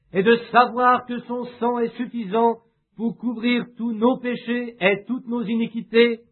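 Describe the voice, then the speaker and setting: A man reading a sermon aloud and leading a prayer in a measured, unhurried way with frequent pauses. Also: high voice. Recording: tinny, phone-like lo-fi recording.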